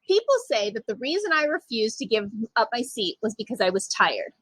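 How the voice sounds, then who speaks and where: A woman reading a children's picture book aloud in an expressive, emphatic way with dramatic pauses. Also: fairly high pitch, breathy edge, no echo. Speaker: storytime reader, literacy program manager.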